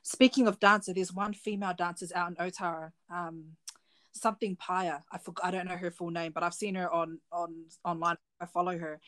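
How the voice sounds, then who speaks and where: A woman's voice: fairly low pitch, flat delivery, moderate pace, fairly bright tone. A woman with a New Zealand accent hosting a podcast in a casual, slangy, informal chat. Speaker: podcast host.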